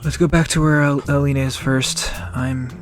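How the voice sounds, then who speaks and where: An actor playing a calm, gentle, peacemaking young leader in an audio drama. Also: mid-range voice, moderate pace, loud music behind the voice, close to an everyday voice.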